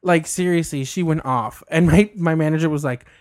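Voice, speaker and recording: A man's voice: a little high, moderate pace, a little mellow. Chatty young man, laughs a lot. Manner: chatty, laughs often, swears casually. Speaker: podcast guest. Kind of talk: casual chat.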